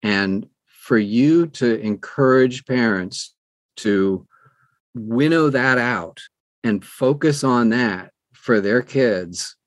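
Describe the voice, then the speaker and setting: A man's voice: medium pitch, takes it slowly, no echo. A middle-aged or older man talking as an interview guest, giving earnest, conversational advice and repeating phrases for emphasis. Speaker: interview guest, audiobook producer.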